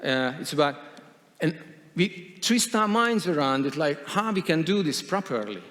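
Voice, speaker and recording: A man's voice: a little high, sing-song, normal speed, a bit bright. Man speaking in a reverberant hall. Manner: hesitant, many fillers and restarts. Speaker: conference speaker. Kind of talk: conference talk.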